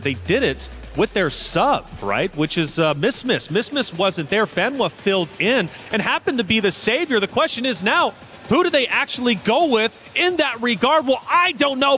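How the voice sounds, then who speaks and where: Animated high-voiced male esports caster. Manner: lively, upbeat, drawn-out emphasis. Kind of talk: energetic play-by-play hype.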